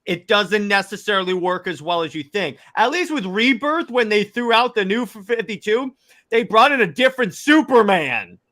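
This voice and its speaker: Bright, high-voiced stammering man. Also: moderate pace. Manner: stammering, animated restarts. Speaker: podcast co-host. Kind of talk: casual chat.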